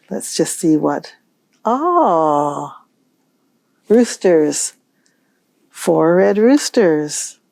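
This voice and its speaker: A woman hosting a storytime for young children, reading aloud in a gentle, musing way and pausing to pose questions. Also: on the low side, vocal fry, dry recording. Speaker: children's library storytime host.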